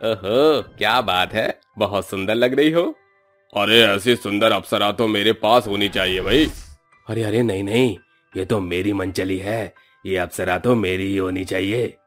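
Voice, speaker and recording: A man's voice: fairly low pitch, a little slow, a bit bright, faint music behind. A man voicing a crude, menacing drunken thug in a cartoon story, with a leering, taunting manner. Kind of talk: cartoon villain voice.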